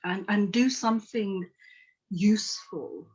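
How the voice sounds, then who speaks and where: A woman's voice: medium pitch, takes it slowly, breathy edge. A middle-aged woman from Tanzania, a psychotherapist, telling her life story earnestly in an interview, with frequent restarts and "you know". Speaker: psychotherapist guest. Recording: dull lo-fi call audio.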